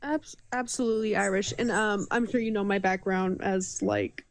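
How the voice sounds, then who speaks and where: A woman's voice: medium pitch, fairly even intonation, normal speed, no echo. A woman guest in a casual live-stream chat, speaking emphatically with many fillers.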